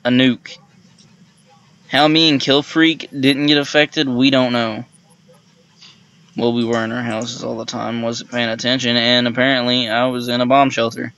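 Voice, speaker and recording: Male co-host, even delivery, smooth voice, improvised storytelling, slightly dull lo-fi recording. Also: mid-range voice, normal speed.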